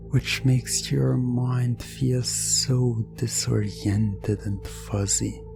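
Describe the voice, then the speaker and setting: A narrator giving a hypnotic ASMR roleplay in a deliberate, repetitive way, sometimes slipping into a near-whisper. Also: sits low. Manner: deliberate, repetitive phrasing with lingering pauses.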